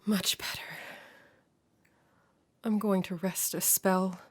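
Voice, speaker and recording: A woman playing a haunted, secretive and gravely urgent intellectual with formal, theatrical diction in a radio horror drama. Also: mid-range voice, little pitch movement, slow pace. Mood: secretive, weary.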